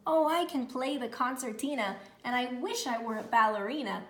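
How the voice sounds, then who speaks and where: A high, sing-song young javelina girl played by a woman, full of dreams. She is a theatrical storybook heroine with ballerina ambitions. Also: moderate pace, reverberant. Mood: wistful. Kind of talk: children's storybook character voice.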